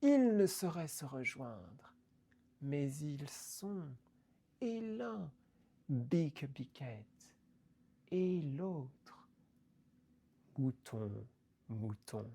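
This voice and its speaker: Woman poetry reader: very slow, low-pitched, halting and theatrical delivery. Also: sing-song, mellow, breathy edge. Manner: deliberate, halting, broken into short phrases.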